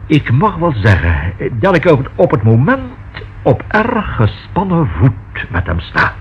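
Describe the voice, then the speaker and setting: A man's voice: mid-range voice, wildly swooping pitch. A man acting a discreet, proper notary in a Dutch radio play, speaking formally and choosing precise words. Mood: stiffly displeased. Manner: formal, measured, precisely worded. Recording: dull, faintly noisy old radio recording.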